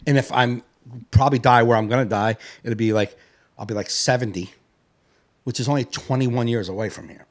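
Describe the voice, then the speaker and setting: A man's voice: mid-range voice, fairly quick. A man in his late forties, a podcast host, talking in a rambling, profane, reflective way. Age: 40s. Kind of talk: casual chat.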